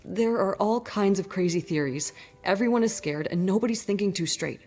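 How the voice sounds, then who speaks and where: A worried small-town woman, played by an actress in a cinematic game drama. She speaks gravely and earnestly, in a measured way, with clear music under her voice. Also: medium pitch, darkish tone, lightly acted. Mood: anxious, grave. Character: worried townswoman keeping vigil over a sick loved one.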